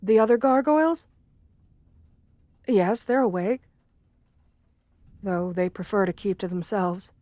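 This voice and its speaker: Woman voicing shy female gargoyle for ASMR roleplay: bookish, gentle, lonely. Unhurried, hesitant, formal delivery, close dry sound. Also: medium pitch, dry recording, close to an everyday voice. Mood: gentle, wistful. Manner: hesitant, polite, old-fashioned formal diction. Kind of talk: romantic ASMR audio roleplay.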